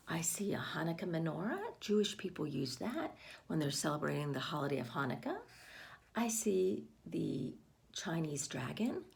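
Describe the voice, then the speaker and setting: A woman's voice: deep voice, vocal fry. A woman reading a picture book aloud to children, expressively, with asides and questions addressed to the young listeners. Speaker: read-aloud storyteller for children.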